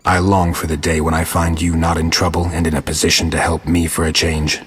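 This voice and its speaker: Very deep, raspy monster hunter. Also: male voice, brisk, long reverb tail, exaggerated voice. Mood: exasperated. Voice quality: low gravelly rasp. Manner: dry, deadpan, terse. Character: gruff, sardonic male monster hunter, world-weary. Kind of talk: video game voice acting.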